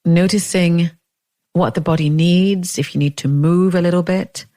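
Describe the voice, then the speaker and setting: A woman leading a guided meditation in a gentle, unhurried way, leaving long pauses between phrases. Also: fairly low pitch, steady tone.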